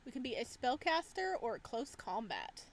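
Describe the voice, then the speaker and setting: A woman hosting a gaming video, giving casual, playful and expressive let's play commentary as she reacts aloud. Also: fairly high pitch, normal speed, a little hiss or hum.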